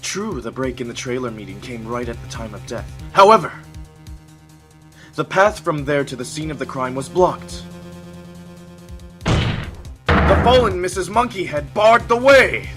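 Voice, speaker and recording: A man's voice: fairly high pitch, very animated, unhurried, pauses often, music in the background. A man playing a smug, condescending young prosecutor in an amateur courtroom game fan dub, with haughty, dramatic, precise delivery. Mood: triumphant.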